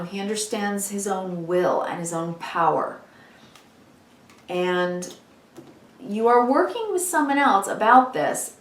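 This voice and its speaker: A woman giving a weekly tarot reading in a chatty, encouraging way, full of "you know"s, her voice a little hoarse from a long day of talking. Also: medium pitch. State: losing her voice, slightly hoarse. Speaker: tarot reader. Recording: echoey room, mic at a distance.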